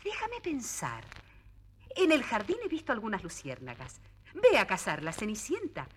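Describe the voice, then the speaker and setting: A woman's voice: medium pitch, huge pitch swings, moderate pace, a bit bright, a little hiss or hum. An actress playing a kindly, bossy fairy godmother in a children's storybook drama, theatrical and instructing. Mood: brisk, busy. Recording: vintage story record with music behind.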